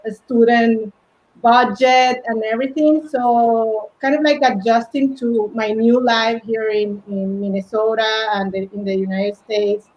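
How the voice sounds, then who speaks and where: A woman from Colombia in her forties with a Colombian Spanish accent, talking haltingly with many fillers as an interview guest. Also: fairly high pitch, a little mellow. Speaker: guest, fashion stylist. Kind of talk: casual interview chat. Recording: dull lo-fi audio.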